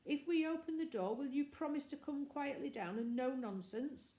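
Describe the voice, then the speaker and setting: A woman voicing a gruff, wary gamekeeper, a grown man who talks in blunt country dialect, for a storybook. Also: high voice, normal speed, dark tone, reverberant, voice pushed up, close to an everyday voice. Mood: challenging.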